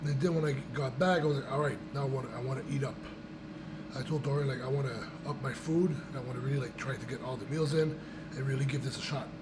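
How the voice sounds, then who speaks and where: A young man with a voice gone raspy from a cold, chatting casually to his viewers in a rambling way with many restarts and fillers. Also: mid-range voice, steady tone, quick pace, background noise. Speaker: vlogger. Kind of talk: casual chat to camera.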